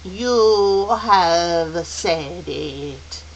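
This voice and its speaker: Woman voicing a slow, solemn otherworldly being. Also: fairly low pitch, lively intonation, dull audio. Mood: solemn, ringing. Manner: drawn-out, ringing, portentous. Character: grand, solemn supernatural being speaking slowly. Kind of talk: audiobook character voice. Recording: faint hiss.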